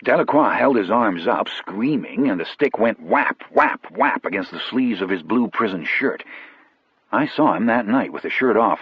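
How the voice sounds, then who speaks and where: Guy reading an audiobook story in first person, husky lowish voice, kinda muffled and dry. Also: no room sound. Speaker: audiobook narrator.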